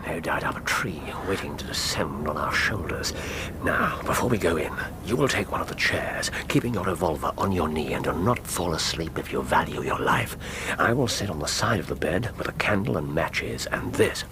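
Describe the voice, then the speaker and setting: An actor playing a commanding, wry Victorian British gentleman detective in a radio drama, with a deliberate, theatrical delivery. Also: a bass voice, expressive, background noise.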